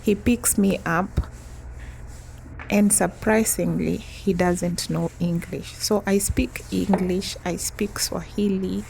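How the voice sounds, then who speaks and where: A young woman from East Africa telling a chatty storytime vlog, often breaking into soft laughs. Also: mid-range voice, fairly even intonation, slow, creaky, noisy background. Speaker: vlogger.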